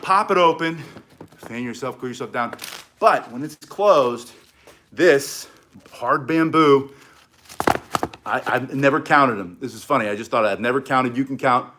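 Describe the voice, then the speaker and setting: A middle-aged man teaching stick self-defense in an energetic, chatty way, with short punchy phrases. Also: medium pitch, measured pace, long pauses, noisy background. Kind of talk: casual instructional demonstration.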